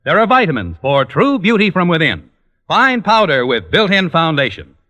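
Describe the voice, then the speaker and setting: A man's voice: fairly high pitch, sing-song, moderate pace, bright, forceful tone. A male radio announcer delivering a polished, emphatic scripted commercial in 1940s style.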